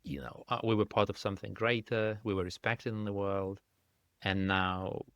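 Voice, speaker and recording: A man from Russia whose first language is Russian, speaking English in a thoughtful, halting way with many restarts as an indie game developer guest. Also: on the low side, level tone, a bit bright, clean tone, no echo. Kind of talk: casual interview conversation. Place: lives in the UK.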